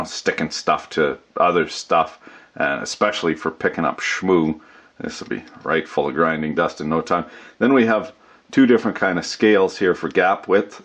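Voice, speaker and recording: A man's voice: extremely low pitch, a bit bright. A middle-aged man hosting a workshop channel, talking casually off the cuff with salty, folksy slang and swearing. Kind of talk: casual, unscripted channel update.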